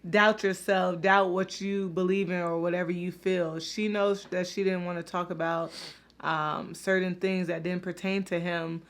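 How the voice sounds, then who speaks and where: A woman's voice: on the low side, flat delivery, moderate pace. A woman hosting a casual reaction video, talking straight to her viewers and filling her speech with "like" and "you know". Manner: chatty, many fillers like "like" and "you know".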